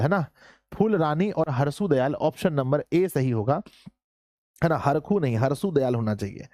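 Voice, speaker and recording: A slightly hoarse man runs a casual online lesson, joking with his students and calling them children. His voice sits a bit high and sounds close and dry, with no room around it. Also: darkish tone, no echo. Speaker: online Hindi teacher. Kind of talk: casual live class lesson.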